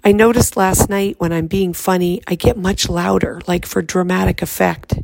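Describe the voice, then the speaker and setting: A woman reading her own poem aloud in a raspy, hoarse voice from laryngitis, speaking softly. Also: on the low side, steady tone, dry recording. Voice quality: raspy, fried voice. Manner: soft, unhurried speaking.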